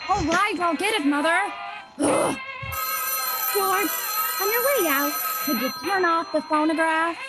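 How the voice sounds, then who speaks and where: A woman's voice: very high voice, expressive, a little slow, pauses often, over loud music, low-quality recording. A woman playing a spirited, teasing young woman in an old-fashioned radio play, pointed and easily exasperated.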